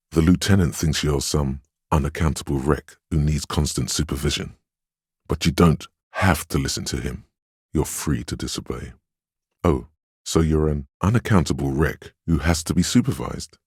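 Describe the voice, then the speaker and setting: An extremely low, grainy male inner voice of willpower from a role-playing game. It is steadfast and grave, counselling in measured, reassuring phrases. The sound is close and dry, with a husky edge. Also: a little mellow, no room sound, heavily acted. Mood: calm, steadying. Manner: measured, solemn, encouraging counsel. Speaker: video game voice actor.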